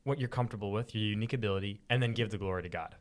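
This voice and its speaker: A man's voice: on the low side, very fast. A man co-hosting a podcast, chatting casually about scripture with informal, slangy enthusiasm. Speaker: podcast co-host.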